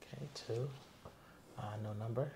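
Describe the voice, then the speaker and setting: Man, 30s, as cooperative male exam patient; low-key, plain, creaky voice, echoey room with faint noise. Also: steady tone, normal speed, warm tone, lightly acted. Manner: brief, plain, cooperative answers. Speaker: patient in ASMR roleplay. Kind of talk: low-key ASMR roleplay responses. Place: small indoor room set up as an exam room.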